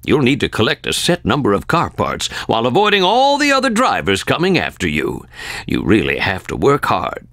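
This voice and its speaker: A man voicing a grandiose, fatherly, oily fish driving instructor in a cartoon game, showy and flattering. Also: medium pitch, normal speed, no echo. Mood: encouraging, sly. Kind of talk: cartoon video game acting.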